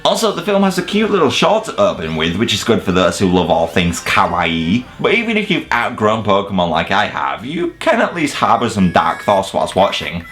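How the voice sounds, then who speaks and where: A man's voice: on the low side, huge pitch swings, normal speed, hardly any pauses, fairly bright tone, soft background music. A man delivering scripted comedic film commentary as a countdown host, with a sarcastic, casually sweary manner.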